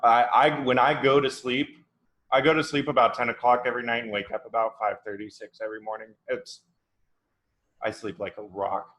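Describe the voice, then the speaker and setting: A man of thirty from Oregon, a podcast guest, chatting in a relaxed, rambling way with many fillers. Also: mid-range voice, fairly quick, poor audio. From Oregon, USA. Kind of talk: casual chat.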